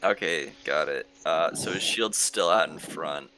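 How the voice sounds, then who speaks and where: A man's voice: very deep voice, unhurried, bright, forceful tone. A man chatting casually as a player in a tabletop roleplaying game, hesitant and full of questions.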